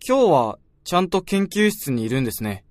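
Radio drama supporting role: jealous younger coworker, polite digs, higher-leaning voice, dry studio sound. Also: male voice, sing-song, a little fast, no echo. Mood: envious, probing. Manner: polite but snide. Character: envious, needling junior male research colleague.

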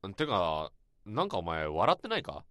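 A man playing a gruff senior coworker who brushes off suspicion in a radio drama. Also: deep voice, huge pitch swings, moderate pace, fairly bright tone, no room sound, studio quality, heavily acted. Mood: defensive. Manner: gruff, dismissive, defensive.

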